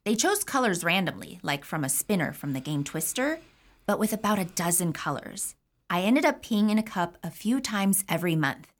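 A woman's voice: fairly low pitch, very animated, normal speed. An actress playing a woman who tells a chatty, wry story about probation. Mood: amused. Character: woman recalling probation drug tests. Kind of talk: audio drama acting.

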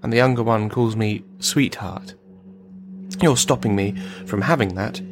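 A man's voice: lowish voice, measured pace, slight background noise, natural voice. A man performing a radio play monologue as a bitter, brittle, menacing army veteran, rambling and brooding as he addresses a silent listener. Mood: wistful, rambling.

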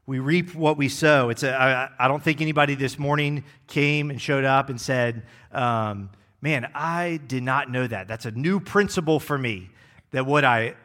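A young man preaching a sermon as executive pastor, relaxed and joking, with many fillers. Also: mid-range voice. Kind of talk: casual sermon. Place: church.

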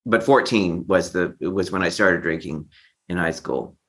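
A man's voice: deep voice, a little slow, fairly bright tone. A man in his fifties from Texas, telling his personal story candidly and reflectively as an interview guest. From Texas, United States. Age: 50s.

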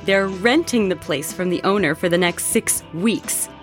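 A woman playing an excitable, bold young roommate who spins gossip dramatically in a horror audio drama. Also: on the low side, measured pace, faint music behind, lightly acted. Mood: building suspense. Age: young adult. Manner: animated, teasing, dramatic storytelling.

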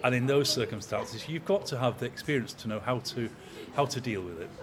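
Man, 60s, company manager type, measured storyteller, busy crowd murmur behind. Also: mid-range voice. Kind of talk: interview. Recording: crowd chatter in background.

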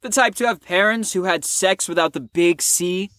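A man's voice: high-pitched, moderate pace, pushed and bright, no room sound, clean studio sound. A man playing a cocky, crude fifteen-year-old boy in an audio drama, with a smug, slangy drawl.

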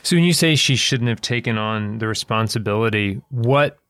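A man with a mid-pitched voice conducts a casual interview in a very dry acoustic. He builds his questions haltingly, piece by piece. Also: a bit bright, smooth, no echo. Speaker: interviewer, show creator and director.

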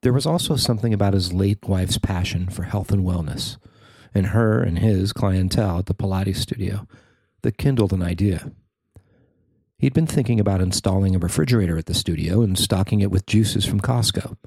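A man narrating a newspaper feature he wrote, in a measured, even reading. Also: low-pitched, vocal fry, breathy edge, dry recording. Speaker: newspaper columnist reading his story. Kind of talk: scripted narration.

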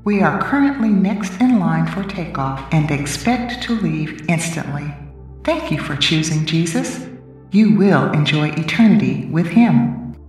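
A woman's voice: deep voice, animated, measured pace, darkish tone, very echoey, recorded from a distance, faint music behind. A woman as a polite flight attendant announcer. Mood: announcing. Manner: formal airport announcement. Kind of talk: radio drama.

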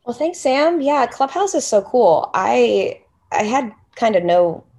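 A woman's voice: fairly high pitch, very animated, slow, a little mellow. A woman in her thirties from the US, a salon owner chatting casually as a podcast guest, rambling with fillers and restarts.

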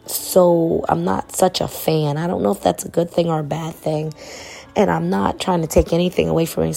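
A young woman hosting her own podcast, chatting casually and rambling in a hoarse voice. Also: on the low side. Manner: rambling, relaxed, colloquial. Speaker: podcast host. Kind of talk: casual solo podcast chat.

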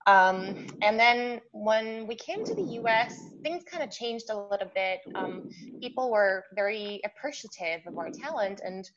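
A woman's voice: medium pitch, lively intonation, a little slow. A young woman from Norway, a pianist, answering interview questions in a chatty way, with many ums. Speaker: concert pianist guest. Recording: muffled remote line with background noise.

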